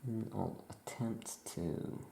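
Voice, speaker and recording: A soft-spoken ASMR host musing in a hushed, self-deprecating way while chatting through a craft task. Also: mid-range voice, slow pace, creaky, a little hiss or hum.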